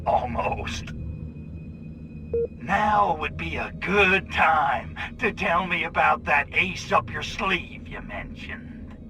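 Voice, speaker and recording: An actor playing a wisecracking, cocky, lecherous alien invader in a sardonic comic video game style. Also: male voice, a little high, slow pace, background noise. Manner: sardonic wise guy.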